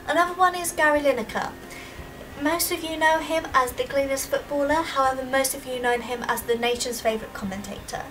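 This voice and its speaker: A woman's voice: high-pitched, fairly quick, a bit bright. A young woman giving a prepared student presentation in an earnest, explanatory, emphatic way. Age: young adult. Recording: distant microphone with audible background noise.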